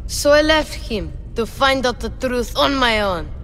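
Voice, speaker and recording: A woman's voice: on the high side, unhurried, a little hiss or hum. An eager, cheeky young boy. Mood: chatty. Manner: excitable, boastful.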